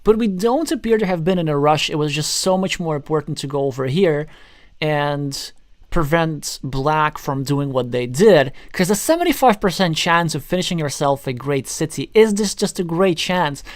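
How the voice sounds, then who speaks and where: A man's voice: fairly high pitch, animated, moderate pace, dry recording, studio quality. A man whose first language is Russian, giving live board game commentary in an analytical way, thinking aloud as he goes. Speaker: tournament commentator.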